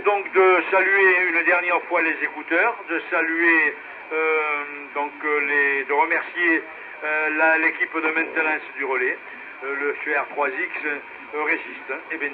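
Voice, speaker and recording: A man running an amateur radio net in a casual, halting way, heard over a two-way radio. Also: fairly high pitch. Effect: two-way radio transmission. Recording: noisy narrowband ham radio relay.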